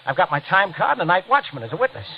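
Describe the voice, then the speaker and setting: A man's voice: high voice, sing-song, very fast. An actor playing a nervous, scheming repairman with an American accent and a stammering, confiding manner, in a 1940s radio thriller. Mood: panicked, pleading. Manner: stammering, jittery, confiding in narration. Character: nervous guilty night-shift teletype repairman, scheming and evasive. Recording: muffled, noisy old radio recording.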